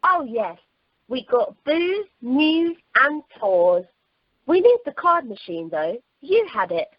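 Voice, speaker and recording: A chirpy, kindly female holiday rep, played by a woman in an amateur radio comedy. The voice is high and animated, recorded dry and dull over a phone conference. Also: slow pace, dry recording, full character voice. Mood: welcoming. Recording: dull telephone conference line.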